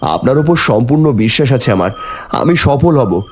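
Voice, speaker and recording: An earnest young hero played by a man tells his horror cartoon tale dramatically in a medium-pitched voice. His intonation swings widely as he sounds brave and searching, and music plays clearly behind him. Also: sing-song, moderate pace, natural voice. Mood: determined. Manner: dramatic, earnest first-person storytelling. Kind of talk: horror cartoon narration and dialogue.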